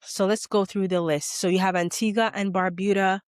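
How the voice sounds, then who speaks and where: A woman's voice: mid-range voice, steady tone, a little fast, clear, resonant tone, dry recording, clean studio sound. Chatty woman vlogger from Jamaica. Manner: chatty, conversational, frequent "okay?" and "you know". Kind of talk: casual talking-head explainer.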